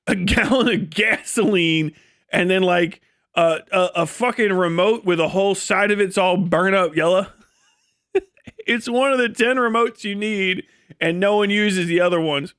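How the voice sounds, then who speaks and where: A man with a high-pitched, sharp voice rambles to a live chat. His delivery is casual and animated, full of profanity, folksy slang and comic exaggeration. The sound is dry and close, without room echo. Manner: animated storytelling with exaggerated descriptions. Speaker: live streamer.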